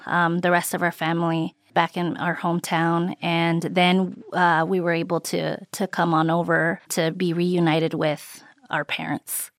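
A woman born in Mexico recalling her life in an interview, reflective and unhurried with frequent ums and uhs. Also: fairly low pitch, no room sound, studio quality. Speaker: interviewee, immigrant rights advocate. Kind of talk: recorded interview recollection.

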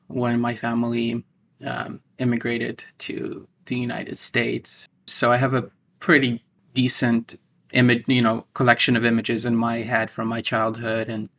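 Halting man in his thirties. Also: mid-range voice, monotone, a bit bright. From Ukraine. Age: 30s. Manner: halting, many restarts and fillers. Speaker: interview guest, divinity student. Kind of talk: personal recollection in an interview.

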